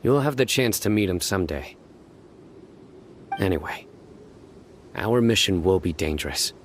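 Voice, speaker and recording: A man playing a stern, disciplined young desert enforcer in a video game, speaking in a serious, measured, formal way. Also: deep voice, animated, a little hiss or hum. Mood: grave, recounting.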